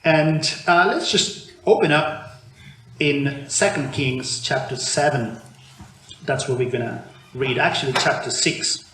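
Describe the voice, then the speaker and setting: A man's voice: mid-range voice, slow pace, fairly bright tone. A man from Argentina, preaching a conversational sermon in a church, often restarting his sentences. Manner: conversational, with frequent restarts and fillers. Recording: echoey church room with faint background noise.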